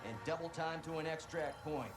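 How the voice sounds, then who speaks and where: An actor playing a gung-ho military commander, giving a commanding, rousing mission briefing in a video game. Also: male voice, a little high, moderate pace, lightly acted. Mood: commanding, brisk. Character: gung-ho male military commander rallying troops. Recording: music behind the voice.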